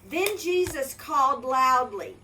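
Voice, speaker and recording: An older woman reading a Bible story to children in a gentle storybook manner, stopping to ask the kids questions. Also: sits high, lively intonation, slow pace, a little hiss or hum.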